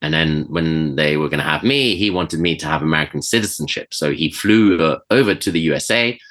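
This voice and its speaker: A middle-aged man with a British accent, speaking as a chef in a relaxed, reflective interview, with frequent fillers and restarts. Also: a bass voice, brisk. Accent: British English. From Switzerland. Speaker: chef and podcast guest. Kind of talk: conversational interview.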